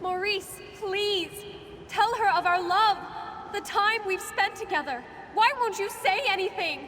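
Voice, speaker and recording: A desperate young servant girl pleads in a very high, thin-pitched voice. It is fantasy game drama, lovelorn and earnest, with clear noise behind it. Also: unhurried. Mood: desperate pleading. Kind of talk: video game drama acting. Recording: noisy game audio capture.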